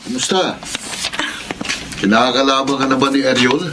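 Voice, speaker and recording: A Filipino actor playing a sly, scheming office colleague in a radio drama, mock-friendly and needling. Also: male voice, medium pitch, fairly even intonation, moderate pace, background noise, close to an everyday voice. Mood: sly, conspiring.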